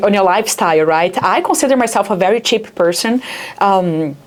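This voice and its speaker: A woman's voice: medium pitch, animated, brisk. A young adult woman from Rio de Janeiro with a Brazilian accent, speaking as a startup founder in a casual interview, with lots of fillers like "like" and "yeah". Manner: chatty, many fillers like "like" and "yeah".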